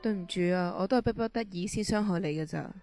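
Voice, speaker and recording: A woman's voice: mid-range voice, quick pace, natural voice. A woman acting a scheming, desperate middle-aged prisoner in an amateur radio drama, commanding and manipulative. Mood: hurt, desperate. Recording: radio drama with music underneath.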